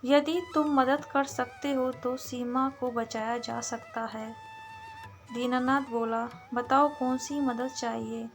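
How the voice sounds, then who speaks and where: Slow, high-voiced woman storyteller. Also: fairly even intonation. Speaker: storyteller, channel narrator. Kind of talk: scripted story narration. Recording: music behind the voice. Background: background music.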